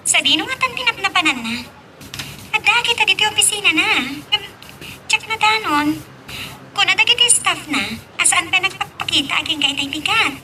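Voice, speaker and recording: A woman with a Filipino accent playing a brisk young wife in an amateur audio drama, sounding distant over noise. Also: very high-pitched, expressive. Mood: inquiring.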